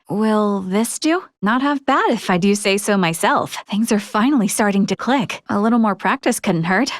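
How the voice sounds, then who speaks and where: A woman's voice: on the high side, no echo, natural voice. Earnest young officer played by a woman, dry studio sound. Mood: pleased. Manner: polite, conscientious, sometimes hesitant. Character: earnest, polite young female Federation officer from space. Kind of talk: video game voice acting.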